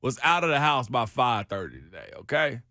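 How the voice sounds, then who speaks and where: A man's voice: mid-range voice, huge pitch swings, fairly quick, bright, forceful tone, no room sound, clean studio sound. A middle-aged man hosting a sports radio show, talking in a laid-back, slangy drawl. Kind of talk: casual morning radio chat. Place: radio studio. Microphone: studio microphone.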